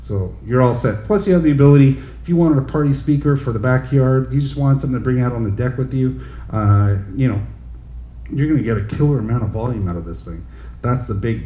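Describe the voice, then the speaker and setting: A middle-aged man talks through a gear demo in a loose, friendly sales patter. His voice is mellow, and it rings a bit in the roomy store. A faint hum of noise sits behind him. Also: medium pitch, fast talker. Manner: chatty, relaxed salesman patter with fillers. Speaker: store product demonstrator. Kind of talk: casual product demo. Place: large indoor audio gear shop. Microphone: headset and handheld mics, switched. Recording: roomy shop sound, faint background noise.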